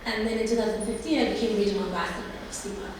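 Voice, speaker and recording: A woman's voice: medium pitch, steady tone, very fast, background noise. A woman alumni panelist from Somalia speaking casually at an information session, with lots of "like" and restarts. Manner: chatty, frequent "like" and restarts. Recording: roomy, distant from the mic.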